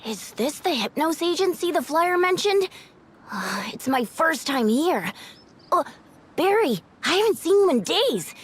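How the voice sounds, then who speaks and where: High, swooping, chatty visitor. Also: female voice, big pitch swings, unhurried. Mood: curious. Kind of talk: anime game voice acting.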